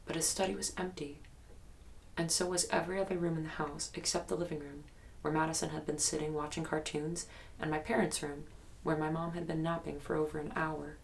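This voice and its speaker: Haunted young woman telling her story, low gravelly voice, guarded and earnest, bit of background noise. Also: little pitch movement, fairly quick, rough recording. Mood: haunted, insistent. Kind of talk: naturalistic investigative audio drama interview.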